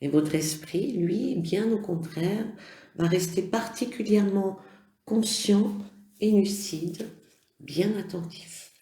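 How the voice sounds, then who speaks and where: A yoga nidra instructor guiding a relaxation meditation in gentle, measured phrases with pauses. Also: medium pitch, roomy sound. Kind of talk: slow guided relaxation meditation.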